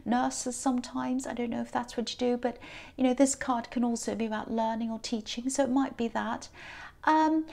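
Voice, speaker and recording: Tired croaky-voiced woman, highish, chatty tarot reading, lots of ums. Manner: chatty and gentle, many ums and hedges. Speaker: tarot reader. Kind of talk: casual tarot reading to camera.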